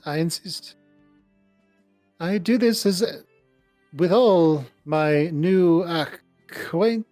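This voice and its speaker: A man plays a young woman from a story, pitched up and nervous. The character stammers and pleads, eager and urgently insistent, with music faintly behind. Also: darkish tone, no echo, exaggerated voice.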